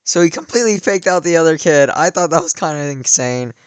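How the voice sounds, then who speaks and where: A man's voice: a little high, lively intonation, fairly quick, clean tone, no room sound. A young man in his late teens tells a story in a rambling, casual way, full of "like" and restarts. His voice is a little worn from cheering. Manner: rambling, many "like" fillers and restarts. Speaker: vlogger.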